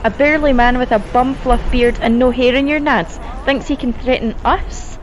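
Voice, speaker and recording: A vicious Scottish gangster's wife, played by a woman, mocks and threatens in a fairly high voice. Her talk is crude and biting. The recording sounds dull, with a little faint noise. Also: steady tone, moderate pace, natural voice. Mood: mocking. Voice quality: mocking, crude.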